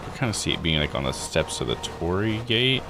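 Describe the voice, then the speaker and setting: A man's voice: deep voice, very animated, fairly quick, noisy background. A man doing casual let's play commentary as a game streamer, thinking aloud in folksy, slangy phrases. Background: game music.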